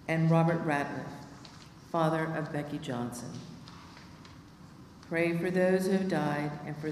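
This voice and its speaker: A woman's voice: sits low, darkish tone, slight background noise. A middle-aged woman at a church lectern, steadily reading the prayers of the people and a long list of names. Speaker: lay reader leading prayers. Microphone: lectern microphone. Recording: echoey church from far off.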